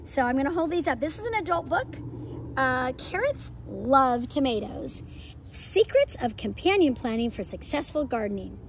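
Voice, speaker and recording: High-pitched, animated woman presenting for children. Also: moderate pace. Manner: enthusiastic, expressive. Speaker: homeschool educator and host. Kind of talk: children's lesson and picture-book read-aloud. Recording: clear background noise.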